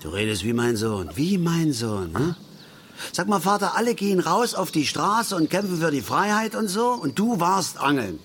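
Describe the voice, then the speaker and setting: An actor playing a grumbling, opinionated middle-aged angler in a radio drama, with a folksy, lecturing manner. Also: male voice, fairly high pitch, big pitch swings, normal speed, fairly bright tone, a little hiss or hum. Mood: defensive, insistent. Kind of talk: naturalistic German radio drama acting.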